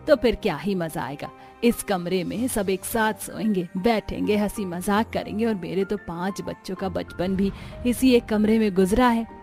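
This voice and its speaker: A woman's voice: medium pitch, darkish tone, natural voice. Woman voicing a sentimental old granny from the village, cartoon, homely, faint music. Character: fond, sentimental elderly village grandmother.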